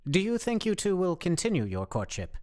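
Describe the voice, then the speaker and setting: A pedantic amateur expert in a radio play, acted by a woman. The diction is stiffly formal and pompous. The pitch sits on the low side for a woman and swings widely. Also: sing-song, fairly quick, a little mellow. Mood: awkwardly formal. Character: pedantic, self-important know-it-all zombie theorist.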